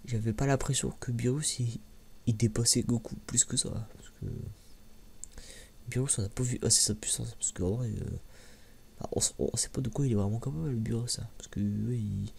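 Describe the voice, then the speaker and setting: A man speaks softly in a mellow, crackly voice, as in an ASMR review. His delivery is informal and hesitant, circling back over the same words and sometimes dropping close to a whisper. Some background noise sits under the voice. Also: medium pitch, steady tone, normal speed. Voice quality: soft, hushed voice, sometimes near a whisper. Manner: hesitant, informal, slangy, often repeating words. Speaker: host of an anime review video. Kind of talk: soft-spoken ASMR commentary, casual and rambling. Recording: clearly audible background noise.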